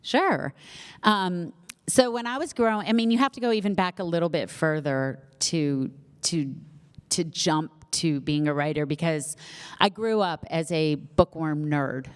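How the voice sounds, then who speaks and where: A woman's voice: sits low, a little slow, clear, resonant tone. Chatty middle-aged woman with a slight lisp. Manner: chatty, warm-humored, self-deprecating, many fillers. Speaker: author at book launch. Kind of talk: casual Q&A chat with audience. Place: event hall with live audience.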